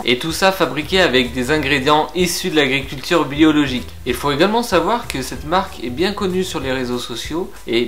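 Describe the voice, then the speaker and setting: A young man from France reviewing beard grooming products to camera in a frank, lively, casual way. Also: a little high. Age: young adult. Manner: lively, frank, chatty with filler pauses. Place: indoor room with shelves of figurines.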